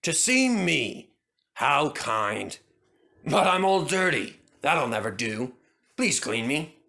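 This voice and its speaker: A man's voice: a little high, sing-song, slow pace, gravelly, sharp tone, full character voice. A man voicing a proud, fussy old steam engine in a children's storybook, polite and dignified in manner. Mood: pleased, fussy. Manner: prim and dignified. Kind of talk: children's storybook character acting.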